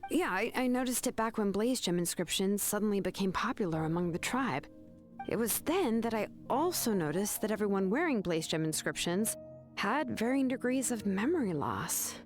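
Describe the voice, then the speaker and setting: A woman playing a shrewd, composed young craftsmith in a video game, explaining things in a measured, knowing way. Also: mid-range voice, lively intonation, soft background music, close to an everyday voice. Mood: knowing, probing.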